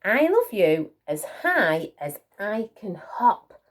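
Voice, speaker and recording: An eager little boy hare from a children's storybook, as a woman reader puts him on. He is loving and earnest, full of wonder, with a very lively melody and drawn-out words. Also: mid-range voice. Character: small eager young male hare, affectionate, competitive.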